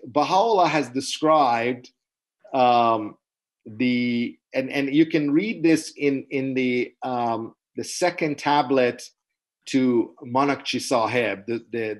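A middle-aged man whose first language is Persian, giving an unscripted webinar lecture in a halting, earnest way with many restarts, recorded on a video call from a small room. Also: medium pitch. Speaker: webinar presenter. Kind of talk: unscripted lecture answering questions. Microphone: webcam on a video call.

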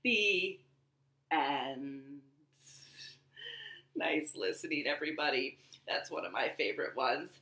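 A young woman hosting a children's storytime at home, reading aloud and chatting to young children in an animated, deliberate way. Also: deep voice. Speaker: library storytime host and reader. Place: small living room at home, indoors. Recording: roomy living-room echo.

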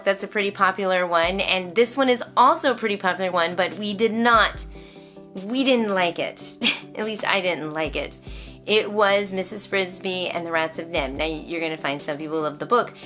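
A woman's voice: mid-range voice, fairly bright tone. A middle-aged American woman chatting casually to camera as a homeschooling vlogger, stressing points emphatically and repeating phrases. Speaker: homeschooling vlogger reviewing books. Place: indoors, small room at home.

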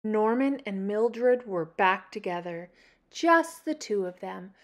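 A woman from Canada reading a children's picture book aloud as story-time host, expressively, with dramatic pauses and emphasis. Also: mid-range voice, expressive, dull audio.

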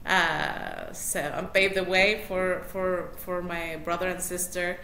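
Bright woman, roomy call. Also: on the low side, big pitch swings, takes it slowly, creaky. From Mexico City. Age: middle-aged. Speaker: interview guest, matchmaker. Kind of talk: casual interview chat. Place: small room indoors, on a video call. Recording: roomy, noisy video-call audio.

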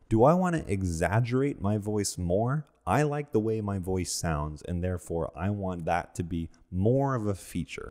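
A man's voice: low voice, warm tone, long reverb tail. A young man in his twenties hosting an audio gear channel, explaining microphones in a friendly, conversational way from his home studio. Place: home studio room with large windows. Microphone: large studio microphone close up, wearing headphones.

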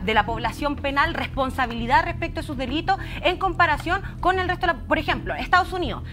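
Woman, thirties, argumentative debate panelist with a high voice, faint music underneath. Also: fairly bright tone. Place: TV studio panel desk. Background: faint music under the talk.

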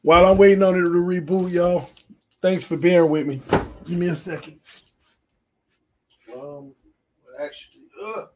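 A man hosting a casual livestream from his room at home while setting up a game, talking quietly because he is losing his voice. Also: sits high, fairly even intonation, moderate pace, long pauses, slight background noise. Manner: talks quietly to spare his voice, reads chat aloud. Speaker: sports channel host. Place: small home room full of fan decor. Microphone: desk mic on stand.

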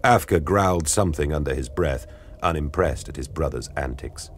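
Very deep-voiced male audio drama narrator. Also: a little hiss or hum. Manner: grave, measured storytelling. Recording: studio narration over music. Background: dramatic music.

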